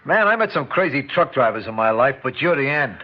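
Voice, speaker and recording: An actor playing a rough, grieving and angry truck driver in a 1950s radio drama. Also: male voice, medium pitch, very animated, fairly quick, slight background noise, lightly acted. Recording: dull old radio recording.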